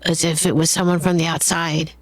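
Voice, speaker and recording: A woman's voice: deep voice, flat delivery, a little fast, no room sound. Curious woman host, dry close sound. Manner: curious, thoughtful questioner who rephrases ideas. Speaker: podcast host. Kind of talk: casual interview chat.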